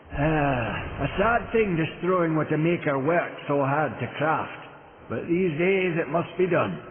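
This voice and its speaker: A man voicing a fond, reminiscing old male stone craftsman with a lively manner. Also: on the high side. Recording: tinny audio with long hall reverb and noise.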